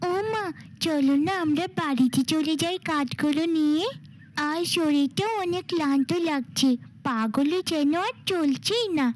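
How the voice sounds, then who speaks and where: A woman's voice: high-pitched, a little slow, slight background noise. A woman voicing a devoted, timid little girl in a cartoon fairy tale, with a pleading, childlike manner. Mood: timid, tired. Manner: pleading, childlike, affectionate way of addressing mother.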